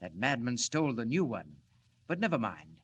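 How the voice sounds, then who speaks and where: An actor playing an earnest, secretive scientist who explains formally and deliberately in 1940s radio style. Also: male voice, mid-range voice, big pitch swings, a little hiss or hum. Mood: assured, explanatory. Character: earnest, proud, secretive male scientist inventor.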